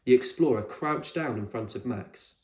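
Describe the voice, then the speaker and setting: Male storybook narrator, mid-pitched, teacherly read-aloud in a reverberant room. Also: moderate pace. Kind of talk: scripted storybook narration for children. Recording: echoey room.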